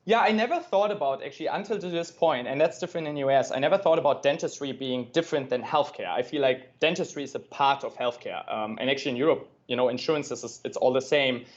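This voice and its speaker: A man's voice: mid-range voice, fast, hardly any pauses, lo-fi sound. A man from Germany with a German accent, speaking as an interview guest, earnest and often adding "right?". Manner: earnest, frequent "right?" tags. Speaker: guest, tech company founder. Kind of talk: interview conversation.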